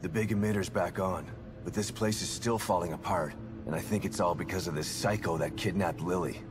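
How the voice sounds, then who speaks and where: Actor doing weary determined game dad, real low voice, terse and blunt, music going behind him. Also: male voice, a little fast, lightly acted. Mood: wary, blunt. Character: weary, determined, blunt father hunting for his daughter. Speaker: father searching for his daughter in a horror game. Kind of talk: video game cutscene acting. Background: background music.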